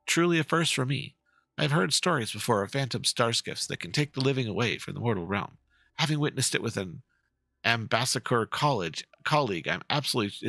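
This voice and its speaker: A man's voice: mid-range voice, creaky, bright, forceful tone, no room sound, heavily acted. A man voicing a dramatic storyteller who recounts a spooky legend from a fantasy game in a theatrical way.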